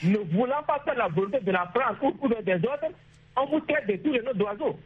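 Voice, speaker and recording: Man, Chadian accent, high voice, husky, halting, radio caller, muffled lo-fi phone audio. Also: expressive. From Chad. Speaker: listener calling in to a radio show. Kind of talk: impassioned political opinion.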